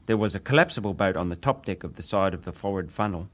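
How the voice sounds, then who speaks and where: Low-voiced, deferential male witness. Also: animated. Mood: subdued, deferential. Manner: deferential, hesitant, measured answers. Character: young male ship's wireless operator survivor, deferential witness. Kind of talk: dramatized reading of hearing testimony.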